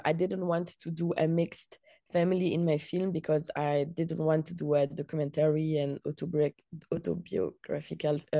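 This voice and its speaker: Low mellow voiced woman from belgium, lots of uh and restarts, dry close sound. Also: gravelly, no room sound. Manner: halting, many fillers and restarts. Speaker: filmmaker. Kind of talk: interview answers.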